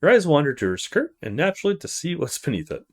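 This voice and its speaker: A man reading the text of a visual novel aloud as a let's player, in an expressive style. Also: on the high side, fast talker.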